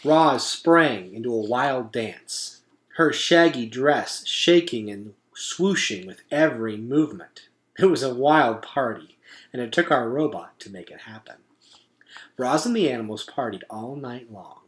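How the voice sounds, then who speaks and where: A man reading a children's story aloud as an expressive narrator. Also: medium pitch, measured pace.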